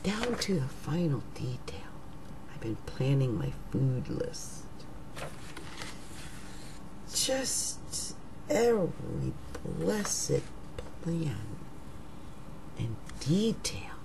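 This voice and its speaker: A middle-aged woman with a rough, raggedy voice, talking casually to her webcam at home as an astrologer, slow and musing, trailing off into whispers. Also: low voice, very animated, low-quality recording. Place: living room at home. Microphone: webcam at desk.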